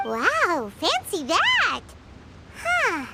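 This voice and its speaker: Squeaky tiny fairy companion girl. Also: huge pitch swings, drawn-out words, heavy background noise, full character voice. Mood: curious, surprised. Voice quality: squeaky, childlike. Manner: inquisitive, exclaiming. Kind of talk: anime game voice acting. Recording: loud music behind the voice.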